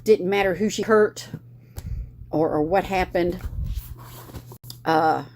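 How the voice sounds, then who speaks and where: A middle-aged woman hosts a casual book club chat in a fairly low voice. She rambles a little, restarting sentences and filling pauses with "uh" and "um". A faint hiss of noise sits under the recording. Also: a little mellow. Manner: rambling, many fillers and restarts.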